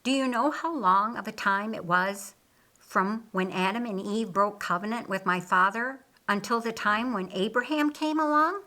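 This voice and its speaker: A woman voicing a patient, wise male teacher in a storybook, explaining things in a measured way. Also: medium pitch, sharp tone, lightly acted. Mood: patient teaching.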